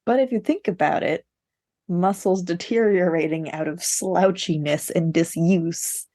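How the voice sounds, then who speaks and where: A woman's voice: on the low side, a little slow, warm tone, dry recording, studio quality. A woman co-hosting a podcast, chatting casually about books in a playful, emphatic way. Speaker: podcast co-host discussing books. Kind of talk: casual book chat.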